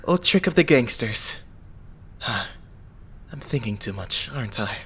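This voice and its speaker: A man acts out a young male idol for an anime fandub. His pitch swings freely while his phrasing stays polite and measured. He comes across as pious and shrewdly analytical. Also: big pitch swings, a bit bright, a little hiss or hum, full character voice. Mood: calm probing. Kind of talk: anime game fandub acting.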